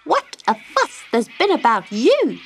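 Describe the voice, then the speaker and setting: Lady doing a fussy little boy bird for a storybook, high and chirpy, lots of lilt. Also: wildly swooping pitch, unhurried, a little hiss or hum, exaggerated voice. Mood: brisk, chirpy. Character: chirpy, fussing male willy wagtail, small bird. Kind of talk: children's storybook character voice.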